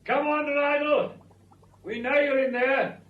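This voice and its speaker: An actor playing a dutiful male police sergeant in a vintage radio drama, brisk and firm. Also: very high voice, flat delivery, phone-quality sound. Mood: firm, calling out. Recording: distant, echoing old radio drama.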